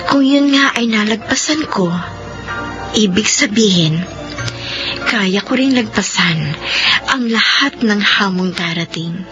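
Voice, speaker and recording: A resilient woman looking back on her life in a radio drama. She speaks in earnest, measured phrases at a middling pitch. Loud music runs under the lo-fi recording. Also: animated. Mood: resolute, reflective. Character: Nanette, resilient woman recounting a life of loss. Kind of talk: first-person radio drama narration. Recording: dull lo-fi radio sound. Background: prominent music.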